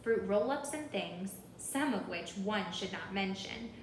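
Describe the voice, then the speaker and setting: A woman reading a children's story aloud in an expressive, deliberate, rhythmic way, pausing to put questions to listeners. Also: medium pitch, echoey room, a little hiss or hum, poor audio. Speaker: storybook reader.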